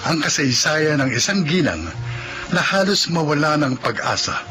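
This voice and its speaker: A man announcing a radio drama program in a measured, declamatory way. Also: on the high side, lively intonation, bright, forceful tone, soft background music.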